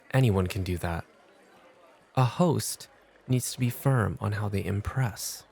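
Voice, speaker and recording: A man playing a cool, unhurried club host in an ASMR roleplay, speaking with firm, dry, measured teasing. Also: on the low side, vocal fry, a little mellow.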